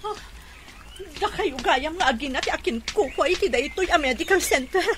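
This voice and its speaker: A woman's voice: high-pitched, animated, normal speed. An actress playing a desperate, reproachful young mother in a radio drama. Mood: tearful, reproachful.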